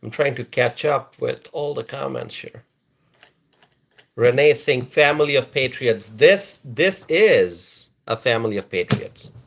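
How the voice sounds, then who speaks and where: A man's voice: mid-range voice, expressive, a little slow, gravelly, a bit bright. A man from Bangladesh hosting a casual live stream, reading viewers' comments aloud and repeating phrases for emphasis.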